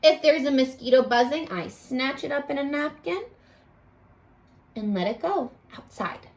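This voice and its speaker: A woman reading aloud to young children like a teacher, expressive and exclamatory, pausing to coax them with questions. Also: high-pitched. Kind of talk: animated children's read-aloud with questions to listeners.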